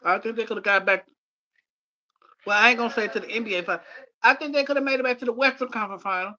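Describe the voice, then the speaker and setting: A man in a casual sports conversation with a high voice and a sharp, bright edge. His replies are short and confident. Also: fast talker, poor audio.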